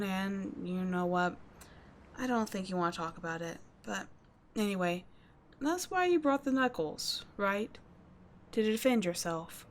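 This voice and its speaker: A woman playing a tough but kind teenage delinquent schoolgirl in an audio roleplay, talking casually and bluntly to the listener, with swearing and halting, confiding asides. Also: mid-range voice, a bit bright, slight background noise, natural voice. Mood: gentle, concerned.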